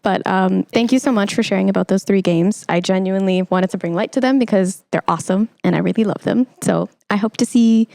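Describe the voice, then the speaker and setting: A woman's voice: medium pitch, fairly quick, vocal fry, few pauses, dark tone, no room sound. A young woman hosting a conversational podcast interview, speaking in an effusive, encouraging way. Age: young adult. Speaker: podcast host.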